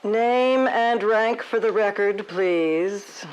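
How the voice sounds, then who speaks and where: An actress playing a polite, formal official in a comedic audio drama, with some background noise. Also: female voice, medium pitch, extremely slow, dull audio.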